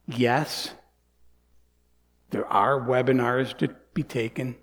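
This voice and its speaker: A man in his sixties preaching a sermon as pastor, earnest, dropping to soft near-whispers and rising to emphatic lines. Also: mid-range voice, fairly even intonation, slow. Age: 60s. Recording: long hall reverb.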